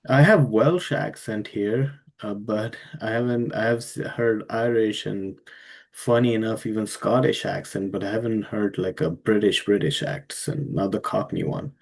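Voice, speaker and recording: A man with a low, gravelly voice hosts a casual interview. He talks in a relaxed, hesitant way and fills his sentences with "uh" and "like". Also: moderate pace. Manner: relaxed, hesitant, many fillers. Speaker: podcast host.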